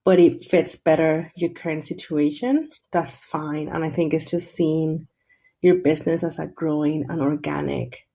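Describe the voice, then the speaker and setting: Guest: woman, 30s, lowish, chatty with restarts. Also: fairly even intonation, unhurried, creaky, warm tone. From Venezuela. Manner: chatty, restarts sentences, laughs often. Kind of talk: casual interview.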